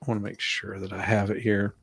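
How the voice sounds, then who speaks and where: A man's voice: lowish voice, level tone, fast talker, breathy edge, no room sound. A man hosting a stream in casual, jovial, chatty talk. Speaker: stream host. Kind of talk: casual chat.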